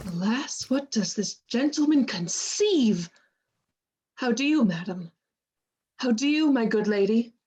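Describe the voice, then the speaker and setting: A staunch, frank lady's maid in Shakespearean radio drama, played by a woman. Her voice is fairly high, and she delivers verse slowly, with firm and protective emphasis. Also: lively intonation, a little mellow, slightly rough. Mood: concerned. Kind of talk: Shakespearean radio play acting.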